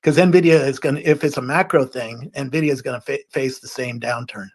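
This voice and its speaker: Man giving measured, analytical commentary. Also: mid-range voice, steady tone. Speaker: panelist. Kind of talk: casual market commentary.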